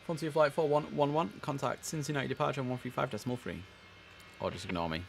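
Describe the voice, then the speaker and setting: A man with a mid-pitched voice streams an air traffic control game over faint background noise. He chats casually in muttered asides, then switches to clipped, formal controller calls. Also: fast, gravelly. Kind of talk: live game stream commentary mixed with radio-style controller calls.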